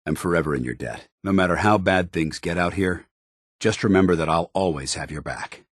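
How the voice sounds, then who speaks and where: An actor playing a stern, loyal military officer in a video game, speaking with formal, earnest, clipped diction. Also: male voice, low voice, a little fast, no room sound, studio quality. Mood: earnest devotion. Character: stern, loyal, dutiful male military officer in power armor. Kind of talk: video game companion dialogue.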